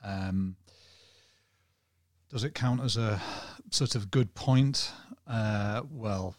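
Downbeat low-voiced Northern English man. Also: very slow, dry recording, clean studio sound. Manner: self-described monotone, downbeat and rambling. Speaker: guest journalist. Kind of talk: casual podcast chat.

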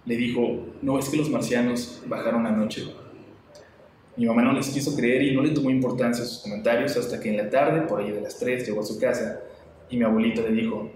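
A man with a Mexican accent reads a scary story aloud with slow, dramatic pacing, as a podcast guest. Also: mid-range voice, fairly even intonation, a little mellow, a little hiss or hum. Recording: echoey room, mic far off.

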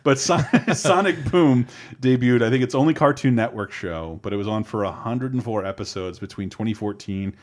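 A man chatting casually on a podcast. His pitch sits in a middle range for a man, and his talk is loose and rambling, full of restarts and quick laughs.

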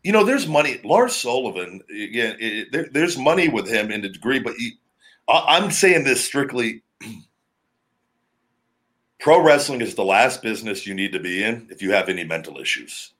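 A man with a heavy, booming voice, talking shop on a casual podcast. He rambles freely, circling back on his thoughts, at a mid pitch for a man. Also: animated, moderate pace, a bit bright. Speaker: podcast host, former pro wrestler.

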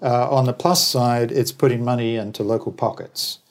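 A man originally from New Zealand gives conversational commentary in an interview. His voice sits at a middle pitch. He is discursive and opinionated, with a wry way of telling anecdotes. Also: normal speed. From New Zealand, later Australia. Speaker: interview guest, travel business operator.

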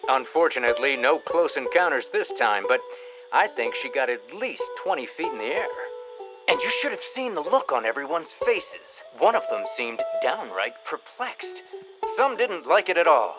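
Animated, theatrical radio show host. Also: male voice, a little high, low-quality recording. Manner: melodramatic, exclamatory. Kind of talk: old-time radio host narration.

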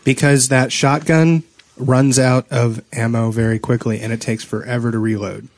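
A man's voice: mid-range voice, steady tone, moderate pace, dry recording. A man co-hosting a podcast in casual chat, with a teasing, jokey manner. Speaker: podcast co-host.

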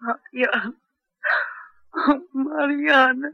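A woman's voice: sits high, fairly even intonation, drawn-out words, gravelly, full character voice. An actress playing a frightened but defiant young female prisoner in a 1970s radio drama. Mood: grieving. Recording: noisy, dull old radio recording.

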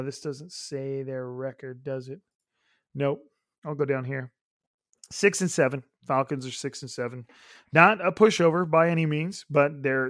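A young man hosting a solo podcast, his voice raspy and hoarse, rambling casually with many fillers. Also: medium pitch, dry recording, clean studio sound. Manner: rambling, upbeat, lots of fillers. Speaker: podcast host.

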